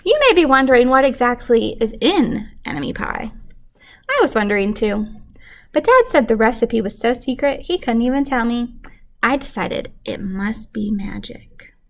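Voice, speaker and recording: High-voiced woman reading to children, slightly creaky. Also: slight background noise. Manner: animated storytelling, pausing to question young listeners. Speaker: children's story reader. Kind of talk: expressive picture-book read-aloud for children.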